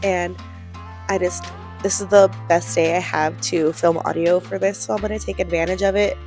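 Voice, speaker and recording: A woman's voice: lowish voice, steady tone, normal speed, darkish tone, soft background music. A congested woman talking casually as a book vlogger, chatty and a little rambling. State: congested from a cold. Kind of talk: casual voice-over chat.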